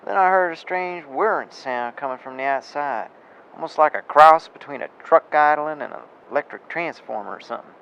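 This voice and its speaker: An actor playing a plain-spoken rural farmer and landowner, rambling in folksy speech in an amateur radio drama. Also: male voice, on the high side, brisk, gravelly, fairly bright tone, smooth. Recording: dull lo-fi with faint noise.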